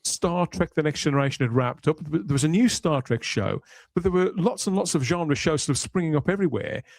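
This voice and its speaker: A man's voice: fairly high pitch, expressive, brisk, gravelly, a little mellow. A middle-aged man hosting a podcast discussion in a reflective, explanatory way. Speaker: podcast host.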